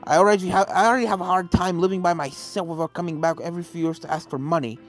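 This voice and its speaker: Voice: medium pitch, steady tone, fast, close to an everyday voice. A reader voicing a grumpy older unicorn stallion. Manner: curt, sullen, grumbling. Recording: game music under the voice.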